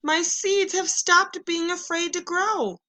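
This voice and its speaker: Lady doing a worked-up little boy toad from a storybook, high and loud, super emphatic, really bright. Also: fairly even intonation, slow, exaggerated voice. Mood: excited, relieved. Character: impatient, excitable male toad, earnest beginner gardener. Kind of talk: children's storybook read-aloud.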